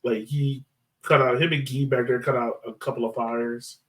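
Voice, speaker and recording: A man's voice: mid-range voice, level tone, moderate pace, recorded from a distance. Playful man hosting, slightly off the mic. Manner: playful, laughs often. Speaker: soccer podcast host. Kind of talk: casual chat, joking sports talk.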